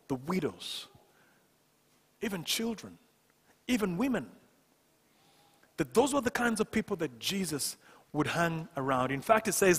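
A male pastor delivers a sermon with emphatic, deliberate phrasing. His voice sits a little high and has a husky grain. It rings with long reverb in a church hall, picked up by a headset microphone. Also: fairly bright tone. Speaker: pastor, preacher. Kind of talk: sermon to a congregation. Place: church stage, indoors.

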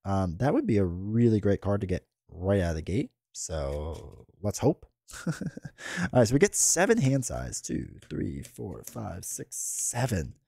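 A man in his thirties with a stuffy head cold, chatting casually as a host while playing a card game, rambling with fillers and restarts, close on a boom mic in a home studio. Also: big pitch swings, a little slow, gravelly, warm tone, breathy edge, dry recording. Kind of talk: casual gameplay commentary. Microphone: boom mic close to the mouth.

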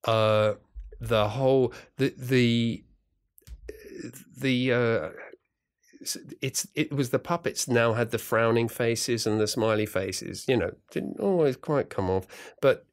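A man's voice: mid-range voice, slow pace. A middle-aged man talking as a guest, enthusiastic and playful, rambling with many restarts and fillers. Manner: playful, jokey. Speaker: guest, radio drama writer and director. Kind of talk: casual conversation.

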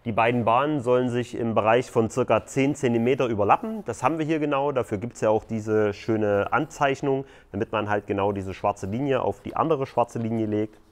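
A man's voice: medium pitch, a little fast, hardly any pauses. A man on a tiny house building site, explaining construction steps in a loose, conversational way. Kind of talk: casual explanatory vlog.

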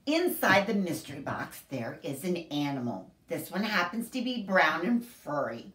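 A middle-aged woman reading a picture book aloud to young children in an expressive, sing-song, deliberate storyteller manner. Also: deep voice. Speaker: children's library story time host. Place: small home room, indoors. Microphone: camera a few feet away. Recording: roomy echo, distant camera microphone.

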